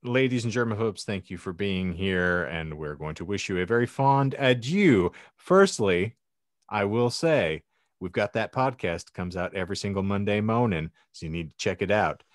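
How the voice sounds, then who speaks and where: A man with a low-pitched voice hosts a comedy podcast in a dry, close-sounding recording. His delivery swings up and down a lot, and his phrasing is ornate and mock-formal. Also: expressive, moderate pace, no room sound. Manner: mock-formal, flowery wording. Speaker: podcast host. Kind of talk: comedic podcast banter and sign-off.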